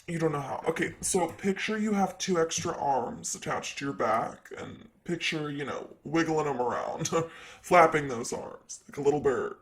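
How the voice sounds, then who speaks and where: A man's voice: on the high side, normal speed, fairly bright tone, lightly acted. A man playing a sassy, vain, flirty ancient demon secretary in an improvised ASMR roleplay, with a teasing, casual manner. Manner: teasing, casual, drawling asides.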